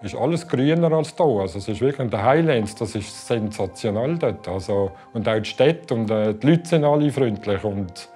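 A man's voice: on the low side, animated, moderate pace, hardly any pauses, warm tone, soft background music. Chatty Swiss German man in his fifties. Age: 50s. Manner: enthusiastic, chatty, with fillers. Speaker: interviewee. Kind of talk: casual interview chat. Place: living room indoors.

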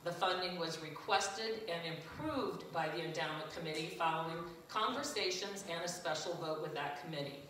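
Older woman, loud steady reading, church notice, big echoey hall, distant camera. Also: fairly low pitch, normal speed, few pauses, slight background noise. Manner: loud, plain reading with a self-deprecating aside. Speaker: church council member giving announcements. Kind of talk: reading a church announcement from notes. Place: large echoey church sanctuary. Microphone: far camera at the back.

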